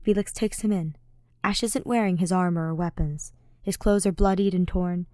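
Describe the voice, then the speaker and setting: A woman's voice: fairly low pitch, normal speed, a little mellow, dry recording, studio quality. A woman reading fiction aloud as a scripted audiobook narrator. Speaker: podfic narrator.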